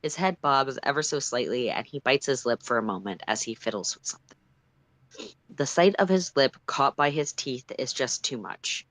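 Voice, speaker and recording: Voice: mid-range voice, lively intonation, moderate pace, a bit bright, no echo, poor audio. A storyteller reading fan fiction aloud in the second person, with an emphatic, dramatic and exasperated delivery. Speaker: storytime livestream reader.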